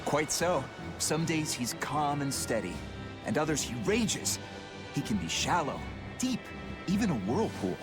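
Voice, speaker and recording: An eager pirate deckhand from a video game, with a lightish, lively voice. He is cheeky and expressive, talking over prominent music. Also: a little high, measured pace. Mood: cheeky, musing. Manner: colorful, informal, expressive. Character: eager, loyal young pirate crewman, cheeky and chatty.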